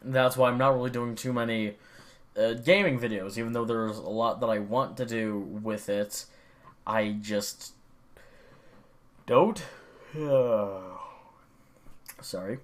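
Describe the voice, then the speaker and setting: A man's voice: mid-range voice, steady tone, takes it slowly, pauses often. A young man rambling casually at his computer about gaming, swearing often and full of fillers and restarts. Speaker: gaming vlogger.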